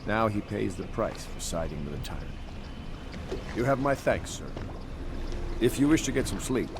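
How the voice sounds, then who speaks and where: An actor playing a dignified, earnest older military leader of conspirators in a video game, speaking in a formal, measured way. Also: male voice, fairly low pitch, sing-song. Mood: grave, proud. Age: middle-aged. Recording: long echoey hall, faint background noise.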